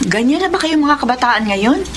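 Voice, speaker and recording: A somewhat high-voiced middle-aged Filipina mother, stern, skeptical and protective. She is acting in a radio drama, with clear ambient noise around her. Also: lively intonation, a little fast. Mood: suspicious, probing.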